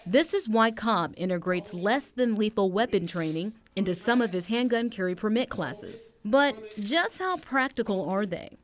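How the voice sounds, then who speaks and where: A woman delivers scripted television news narration. She speaks as a reporter at a middle pitch, presenting the story in a broadcast style. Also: animated, normal speed, hardly any pauses.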